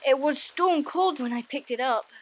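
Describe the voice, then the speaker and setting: A woman voicing an unheroic, self-doubting young Viking boy in an audiobook, expressive and self-deprecating. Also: sits high, normal speed, natural voice, poor audio. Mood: defensive. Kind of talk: audiobook character acting.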